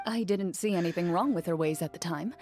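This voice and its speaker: Woman doing a cool deadpan swordswoman, low-ish voice, soft music behind. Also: a little fast, close to an everyday voice. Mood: calm, dry. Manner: composed, matter-of-fact, dry. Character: calm young female duelist recalling a harsh childhood. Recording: game audio with soft music.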